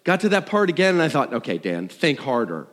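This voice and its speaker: A man tells a personal testimony to a congregation in a conversational, self-deprecating way, acting out dialogue and thoughts like an animated storyteller. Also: on the high side, fairly quick. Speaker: worship leader. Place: large room, speaking to an audience. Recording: live hall with long reverb.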